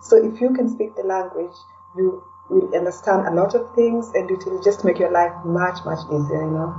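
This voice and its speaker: A woman's voice: lowish voice, normal speed, warm tone. A woman talking casually as an interview guest, chatty and hesitant, often adding "you know.". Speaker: podcast guest, blogger. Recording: dull, distant, faint background noise.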